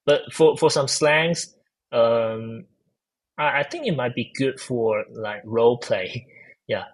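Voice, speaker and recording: A man's voice: mid-range voice, takes it slowly. A man with a Chinese accent answering interview questions, hesitant with many fillers and restarts. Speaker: guest, AI researcher. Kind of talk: casual technical interview.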